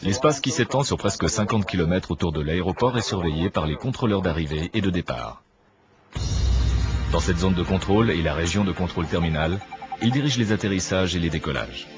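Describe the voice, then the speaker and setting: A man reading a scripted documentary narration in a measured, didactic manner. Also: deep voice, animated, muffled recording. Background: clear background music.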